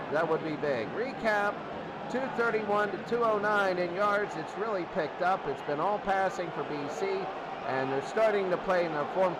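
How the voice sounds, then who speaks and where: A man doing live play-by-play football commentary and recaps in short, clipped, repetitive phrases. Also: high voice. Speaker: play-by-play announcer. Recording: noisy, crowd roaring behind the voice. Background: loud stadium crowd noise.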